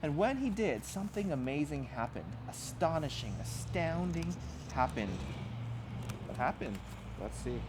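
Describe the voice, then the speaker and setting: A man's voice: a little high, big pitch swings, unhurried, heavy background noise. A man reading a children's storybook aloud as a pastor, expressively and dramatically, with simple emphatic phrasing and asides to young listeners.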